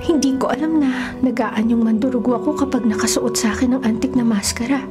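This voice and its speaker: A woman playing a troubled young woman, a big sister with a secret, in an expressive radio horror drama style. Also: fairly high pitch, a little fast, over music, natural voice. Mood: anguished. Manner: melodramatic, expressive. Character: troubled young woman, affectionate older sister hiding dread.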